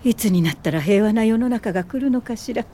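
Actress as high-voiced, determined social worker. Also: female voice, fairly quick, dark tone, slight background noise, natural voice. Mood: weary, wry. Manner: earnest, polite, insistent. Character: determined, compassionate woman pioneer medical social worker. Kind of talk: radio drama acting.

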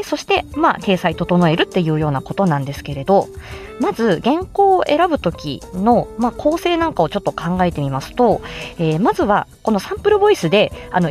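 A woman's voice: mid-range voice, a little mellow. A woman with an androgynous voice explaining in a casual, chatty live-stream style, as an amateur voice actor giving tips. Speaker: amateur voice actor explaining how to make voice samples.

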